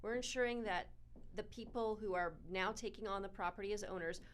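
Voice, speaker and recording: A middle-aged woman, a title company representative, giving clear and upbeat answers in an interview. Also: medium pitch, a little fast, a little hiss or hum. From born in South Africa, raised in Toronto and Arizona.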